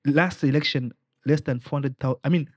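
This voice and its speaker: A man's voice: mid-range voice, a little fast, dry recording, clean studio sound. A young man with a Kenyan accent, speaking as a political hopeful in an interview, earnest and rallying, slipping in Swahili phrases. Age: young adult. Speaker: political aspirant guest.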